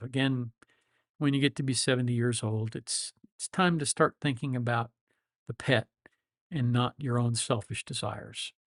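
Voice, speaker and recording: A man's voice: mid-range voice, no echo. Chatty seventy-year-old man, dry sound. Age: 70s. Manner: rambling, reflective, frequent fillers and restarts. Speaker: lawyer and livestream host. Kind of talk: casual livestream chat answering viewers.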